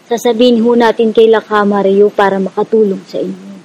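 An actress playing a caring, reassuring Filipino guerrilla medic in a radio drama, with a mellow, husky voice. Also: female voice, medium pitch, fairly even intonation, a little fast, a little hiss or hum, close to an everyday voice. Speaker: rebel medic character. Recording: slightly dull lo-fi audio.

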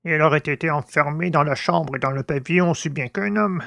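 A man playing a gruff, superstitious old servant who grumbles uneasily in rustic, exclamatory talk in an audiobook drama. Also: a little high, quick pace, a bit bright, no echo. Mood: agitated.

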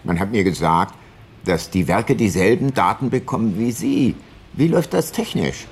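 An actor playing a shrewd, self-assured older private detective in a radio play, speaking in a measured, dry and probing manner. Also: male voice, on the low side, expressive, husky. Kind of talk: radio play narration and dialogue.

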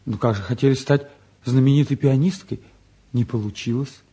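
An actor playing a restless, cheeky and candid teenage son in a 1950s Soviet radio play, talking in an impulsive, colloquial way. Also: male voice, mid-range voice, moderate pace, warm tone, slightly rough, lightly acted. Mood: frank, curious.

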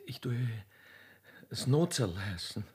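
A man's voice: medium pitch, sing-song, slow pace. A man voicing a naive young Austrian country girl, chatting in simple, hesitant dialect in a comic radio play. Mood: shy, earnest.

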